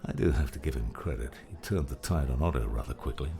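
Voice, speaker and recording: An actor playing a grave, knowing old male mentor in a dramatized audiobook, speaking in a deliberate, weighty way. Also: rumbling low, gravelly, a crowd in the background. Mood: grave, explanatory. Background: background music.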